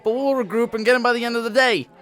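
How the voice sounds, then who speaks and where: A man voicing an eager, servile high school follower with an earnest, soldierly manner in an audio drama. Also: fairly even intonation, very fast, a bit bright. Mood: eager, dismayed.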